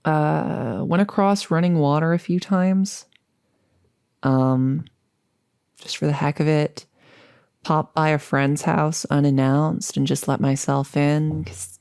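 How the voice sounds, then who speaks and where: A woman as a low, gravelly-edged chatty girlfriend. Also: warm tone, no room sound, clean studio sound. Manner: casual, rambling, hesitant musing. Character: chatty, upbeat girlfriend about to become a vampire. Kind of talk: intimate ASMR audio roleplay.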